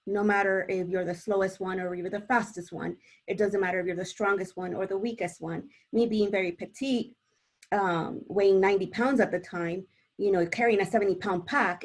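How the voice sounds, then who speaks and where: A woman from El Salvador, a native Spanish speaker, talking informally as a panelist and sharing personal experience. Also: medium pitch. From born in El Salvador.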